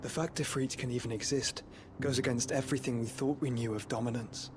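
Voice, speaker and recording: A man's voice: mid-range voice, fairly even intonation, fairly quick, lightly acted. An actor playing an earnest, learned young nobleman in a fantasy game, speaking solemnly in formal, archaic phrasing. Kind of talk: dramatic fantasy game cutscene acting. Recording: music behind the voice. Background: music.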